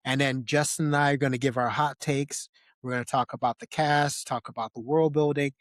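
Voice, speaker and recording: A man's voice: medium pitch, fairly even intonation, brisk, a bit bright, dry recording, studio quality. A man hosting a casual film discussion podcast, speaking emphatically and repeating phrases for effect. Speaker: podcast host.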